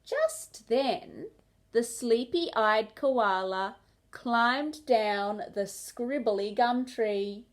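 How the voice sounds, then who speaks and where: A woman storyteller reading a children's picture book aloud in expressive narration. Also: a little high, drawn-out words.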